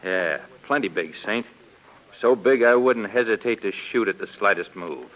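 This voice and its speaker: A man's voice: on the low side, expressive, moderate pace, a bit bright, rough recording. A man playing a cocky small-time hoodlum in a 1950s radio crime drama, with an American accent and slangy, sneering tough talk. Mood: smug, threatening. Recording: vintage 1950s radio recording.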